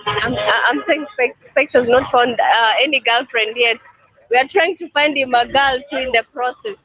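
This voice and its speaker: A man's voice: very high voice, normal speed, sharp tone, muffled recording. Hesitant man on a city street. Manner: hesitant, full of fillers. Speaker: guide showing city streets. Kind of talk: casual chat on a call.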